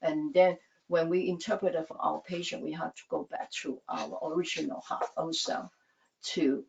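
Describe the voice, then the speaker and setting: Brief: woman, Taiwanese native speaker, hospital interpreter, soft and halting in English, low-ish voice, dull video call audio. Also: fairly even intonation, vocal fry, slight background noise. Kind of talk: panel talk on a video call.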